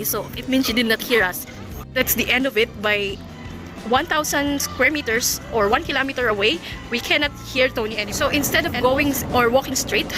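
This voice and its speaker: A woman with a Filipino accent, a native Tagalog speaker, giving casual vlog commentary. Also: high-pitched. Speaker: vlogger reviewing a product.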